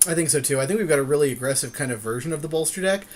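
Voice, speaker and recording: A man's voice: a little high, animated, very fast. A man co-hosting a podcast, casually commentating on a card game draft in an agreeable way, often repeating himself for emphasis. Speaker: podcast co-host.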